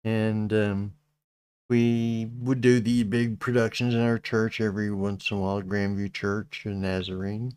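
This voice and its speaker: A middle-aged man with a Texas accent, hosting a casual livestream chat, speaking slowly with many halting restarts. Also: on the low side, little pitch movement, a little mellow, clear, resonant tone, no echo. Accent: Texan. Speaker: channel host.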